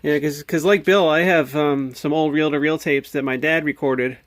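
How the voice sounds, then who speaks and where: A man's voice: fairly high pitch, steady tone, moderate pace. An American man giving a casual show-and-tell, thinking aloud in a hesitant, puzzled way. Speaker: hobbyist video maker. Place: indoors at a home workbench.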